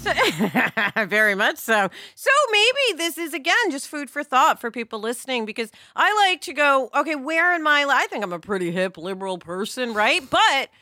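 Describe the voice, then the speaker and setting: A woman's voice: sits high, very animated, moderate pace, few pauses, pushed and bright, no room sound, studio quality. A woman co-hosting a podcast in casual, reflective discussion, talking candidly with digressions and questions to herself. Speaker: podcast co-host.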